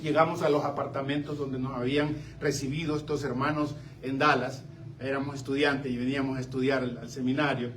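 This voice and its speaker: An older man from Central America preaching a sermon, swinging between loud exclamations and quiet asides. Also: mid-range voice, level tone, brisk. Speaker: pastor. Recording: slightly distant mic with faint room noise.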